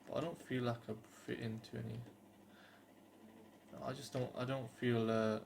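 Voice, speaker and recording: Gravelly young British man, rambling. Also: mid-range voice, flat delivery, normal speed, darkish tone, a little hiss or hum. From United Kingdom. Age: 20s. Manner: rambling, hesitant, earnest. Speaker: vlogger. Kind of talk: casual talk to camera.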